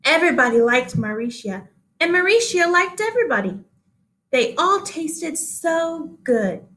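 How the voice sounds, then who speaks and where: High voiced lady doing an expressive kids story read aloud, teacher style, deliberate pauses. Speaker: teacher reading aloud to pupils.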